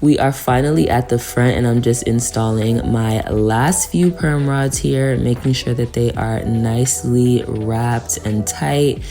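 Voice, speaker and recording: A woman's voice: very deep voice, a little slow, few pauses, dark tone, soft background music. A woman giving a casual, chatty tutorial voice-over, speaking to viewers in an encouraging way. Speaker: hair tutorial vlogger.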